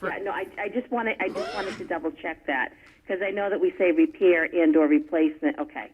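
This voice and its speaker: A man's voice: sits high, brisk, slight background noise. A man on the board, calling in and asking hesitant questions, repeating words as he goes. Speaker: board commissioner. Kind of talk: questions at a public meeting. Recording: tinny phone-like line.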